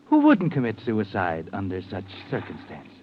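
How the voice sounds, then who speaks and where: An actor playing a knowing, sardonic narrator in an old-time radio mystery, speaking with dramatic insinuation. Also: male voice, lowish voice, wildly swooping pitch, normal speed. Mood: ominous, knowing. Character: sardonic male narrator addressing the schemer, ominous and knowing. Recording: muffled old radio, music behind.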